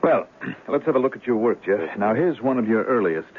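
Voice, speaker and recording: An actor playing a concerned, patient friend, gentle and coaxing, in a 1970s radio drama. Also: male voice, medium pitch, expressive, brisk, lightly acted. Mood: hopeful, puzzled. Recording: tinny, lightly noisy old radio recording.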